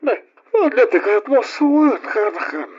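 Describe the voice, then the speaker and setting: A man's voice: very high-pitched, expressive, normal speed, breathy edge, heavily acted. A man playing a concerned older uncle with a Filipino accent, giving fatherly, emphatic counsel in an old radio drama. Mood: surprised. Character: concerned older uncle, animated, advising his nephew. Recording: dull old radio recording, music behind.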